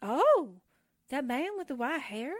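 Woman as a high-voiced, brash girl reporter. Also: big pitch swings, slow, dry recording, studio quality, heavily acted. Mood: wry, wisecracking. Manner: slangy, naive, chatty. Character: brash, wisecracking young newspaperwoman working undercover. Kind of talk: 1940s radio drama acting.

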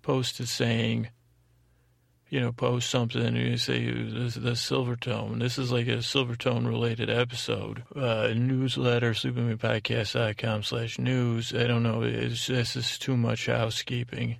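Gravelly, rambling male podcast host. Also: medium pitch, completely flat delivery, no echo, clean studio sound. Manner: meandering, halting, repeats himself. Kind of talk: rambling, tangential solo podcast talk.

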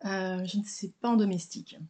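A woman's voice: mid-range voice, steady tone, brisk, warm tone. A middle-aged French woman speaking as an interview guest, explaining things steadily with frequent fillers. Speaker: guest, mother and business founder. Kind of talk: casual conversational interview.

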